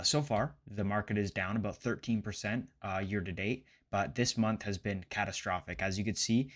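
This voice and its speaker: A man in his late twenties with a fairly low voice chats casually to his viewers as a finance vlogger. His delivery is loose and rambling, and he often stops to rephrase himself. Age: late 20s. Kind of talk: casual chat to camera about investing.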